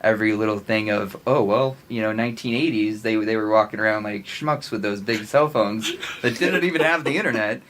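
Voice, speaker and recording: An American man chatting casually as a podcast co-host, often halting as he searches for his words. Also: fairly low pitch, fairly bright tone, a little hiss or hum.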